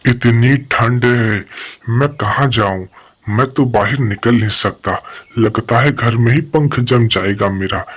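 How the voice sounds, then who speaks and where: A husky, slow-talking male crow from a cartoon fable, played by a man. He is lazy and hungry, whining and lamenting in a plaintive way. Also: medium pitch, close to an everyday voice. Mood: grumbling. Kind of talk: Hindi children's animated fable dialogue.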